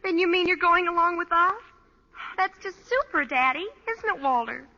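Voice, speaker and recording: An eager teenage girl in a vintage radio comedy. Her voice is extremely high and bright, gushing as she talks. The sound is muffled. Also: expressive, moderate pace, exaggerated voice. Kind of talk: 1940s-50s radio comedy acting. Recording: muffled old radio recording, long reverb.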